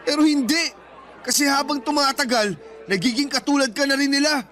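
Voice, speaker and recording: An embittered older brother in his thirties, worn down by providing for his family. His Filipino-accented voice is very high for a man and bright, full of hurt indignation. It is radio drama, with music underneath. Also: brisk, a faint crowd. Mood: hurt anger. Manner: emotional, wounded, indignant.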